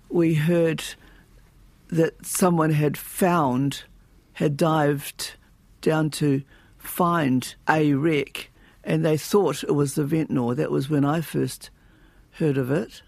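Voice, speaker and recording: A man, chairman of a project group, slowly recalling events in an interview with pauses. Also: fairly high pitch, creaky, darkish tone, no echo. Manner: slow, pausing recollection. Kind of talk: interview recollection.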